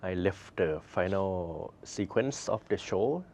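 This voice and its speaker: Low gravelly male host, off-mic. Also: animated, takes it slowly, darkish tone, slight background noise. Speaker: interview host. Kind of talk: interview questions.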